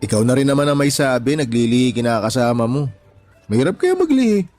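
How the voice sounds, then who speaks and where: A man's voice: medium pitch, big pitch swings, quick pace, darkish tone, faint music behind, lightly acted. An actor playing a married father, a sympathetic friend chatting matter-of-factly in a radio drama. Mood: curious, sympathetic. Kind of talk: Tagalog radio drama dialogue.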